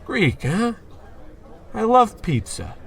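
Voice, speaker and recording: A man playing a brash, dim, excitable barfly with slangy, cocky talk in a 1940s radio comedy style. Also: sits high, slow, vocal fry, heavily acted. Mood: flustered. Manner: slangy, cocky, easily flustered.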